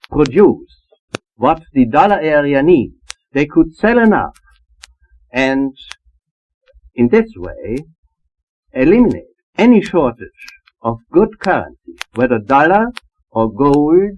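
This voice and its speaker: A man giving measured, emphatic, formal answers as a trade expert in a panel interview, on an old acetate disc recording. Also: on the high side, very animated, highs cut off, slight background noise.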